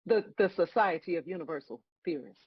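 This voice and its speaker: A woman playing God as a wry, patient, bemused celestial judge, explaining things in a measured way at a table read of a comedy. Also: on the low side, lively intonation, breathy edge, no room sound, dull audio. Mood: calm, corrective.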